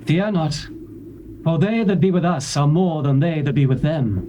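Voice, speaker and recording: An actor playing a stern, commanding prophet who speaks in a solemn, declamatory way. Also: male voice, on the high side, normal speed, highs cut off, close to an everyday voice. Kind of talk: dramatized scripture reading. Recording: faint tape hiss.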